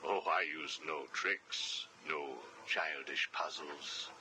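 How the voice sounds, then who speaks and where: A man voicing a cold, condescending older psychiatrist villain in a video game, speaking in measured, clipped, commanding sentences. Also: sits low, sharp tone, slight background noise, exaggerated voice, low-quality recording. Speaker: villain, prison warden.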